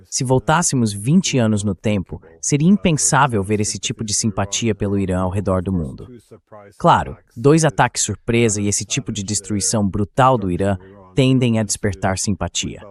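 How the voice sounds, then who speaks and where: A man's voice: lowish voice, expressive, moderate pace. A man with a Brazilian accent voicing a dubbed political interview as the host.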